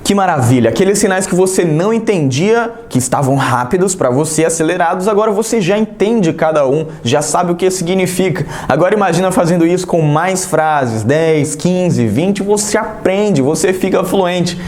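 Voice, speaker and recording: A man's voice: sits high, animated, normal speed, hardly any pauses, darkish tone, reverberant, a little hiss or hum. A man teaching an online sign language lesson, talking straight to the viewer in an encouraging, direct way. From Brazil.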